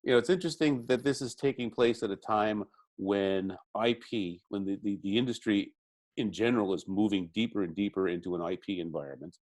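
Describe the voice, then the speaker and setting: A man's voice: fairly low pitch, fairly quick, a little mellow. A man asking questions as the interviewer in a conversational video-call interview.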